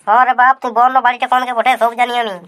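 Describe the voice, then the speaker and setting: A brash, fast-talking village cartoon caller, played by a man with a Purulia Bengali accent. The voice is pitched high and rushes through rough, swearing lines. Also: level tone, fairly bright tone. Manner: brash, quick rustic talk with swearing. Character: pushy village phone-company caller and friend.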